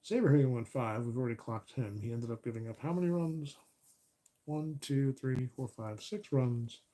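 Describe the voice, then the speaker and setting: Relaxed man calling a tabletop baseball replay. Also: medium pitch, normal speed. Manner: relaxed, self-correcting, counting stats aloud. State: voice getting a little raspy. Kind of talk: play-by-play commentary of a board game replay.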